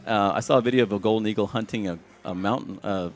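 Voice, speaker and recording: A man from Sri Lanka, a game developer, walking through a demo of his game at a live event in a halting, filler-heavy way. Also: fairly low pitch. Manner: halting, many fillers and restarts. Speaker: game developer, studio founder. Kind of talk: casual demo walkthrough.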